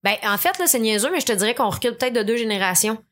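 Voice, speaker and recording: A woman's voice: fairly high pitch, very fast. A female comedian from Montreal with a Southwest Montreal Quebec French accent, joking in casual podcast chat. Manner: joking, lively banter.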